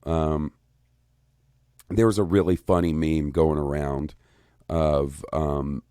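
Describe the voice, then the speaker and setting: A man in his thirties chats on a podcast in a very deep, mellow voice. He rambles, swears freely and gets worked up. The sound is dry and close. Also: takes it slowly, dry recording. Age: 30s. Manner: rambling, profane, excitable. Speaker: podcast co-host. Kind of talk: casual podcast chat.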